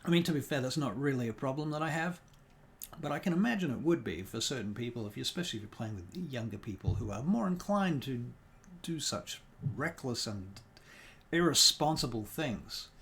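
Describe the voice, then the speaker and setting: A man's voice: medium pitch, animated, fairly bright tone, a little hiss or hum. Tired man rambling to himself on stream. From New Zealand. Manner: rambling, thinks aloud, muttering and humming to himself; deliberately speaking in a put-on non-native accent. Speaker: dungeon master and streamer. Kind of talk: casual livestream chat while working.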